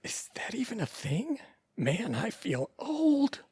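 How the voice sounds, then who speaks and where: A woman's voice: fairly high pitch, big pitch swings, slow pace, dark tone, husky, no room sound, exaggerated voice. A woman playing a loyal, laid-back, wine-swigging buddy in a comedic audio drama, casual and droll.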